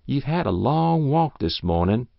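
A woman's voice: rumbling low, huge pitch swings, unhurried, vocal fry, no room sound, pitched above the performer's own voice, exaggerated voice. A man voicing a stout, kindly old farm wife who fusses over a guest in rural speech. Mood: fussing. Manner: fussing, sympathetic, rural speech. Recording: dull lo-fi audiobook recording.

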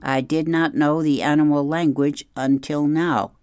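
A reader voicing a polite, literal-minded female robot in a storybook, measured, earnest and formal. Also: medium pitch, fairly even intonation, darkish tone, no echo, studio quality, voice pushed up, close to an everyday voice. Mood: calm, polite.

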